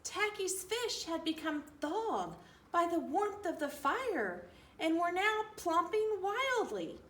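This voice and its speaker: A woman reading a children's storybook aloud with an animated, deliberate, singsong delivery. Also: very high-pitched. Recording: roomy, distant mic, faint room noise.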